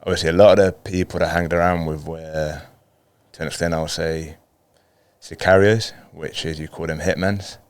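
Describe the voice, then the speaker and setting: Colombian guy, native spanish speaker, 30s, really low flat delivery, casual storytelling on a podcast. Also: moderate pace, clear, resonant tone, no room sound. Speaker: podcast guest, footballer.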